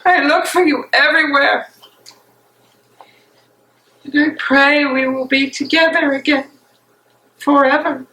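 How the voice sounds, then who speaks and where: Woman playing a bright-voiced, slow, grieving fiancée. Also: high voice, steady tone, exaggerated voice, rough recording. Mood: anguished, pleading. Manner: earnest, pleading. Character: tender, grieving young fiancée longing for her beloved. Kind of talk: stage play dramatic reading.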